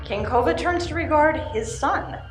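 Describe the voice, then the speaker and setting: A woman narrator reads a scripted radio play in a fairly high voice. The recording is roomy and live, with faint music behind her. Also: expressive, normal speed.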